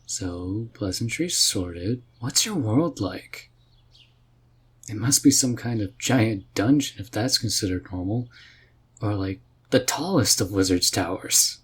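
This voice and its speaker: A man's voice: lowish voice, lively intonation, slow pace, husky, heavily acted. A man voicing a laid-back, friendly and curious male mage in a fantasy roleplay audio, with a casual, questioning manner. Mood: easygoing, curious. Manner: casual, easygoing, puzzled questioning. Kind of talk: roleplay audio drama for the listener.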